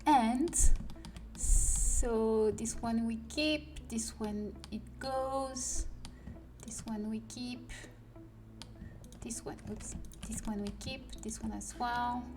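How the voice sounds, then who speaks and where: A woman's voice: fairly high pitch, fairly even intonation, very slow. A woman from France with a French accent, chatting casually on a livestream while she works, often muttering to herself or dropping to a whisper. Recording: distant mic with music behind.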